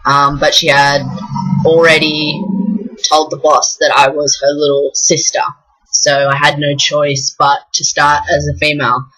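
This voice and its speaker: A young Australian man from the south coast of New South Wales, talking frankly and in a rambling way in a personal vlog, with many pauses. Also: a little high, completely flat delivery, pushed and bright, faint music behind, rough recording. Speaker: vlogger. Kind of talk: casual personal vlog talk.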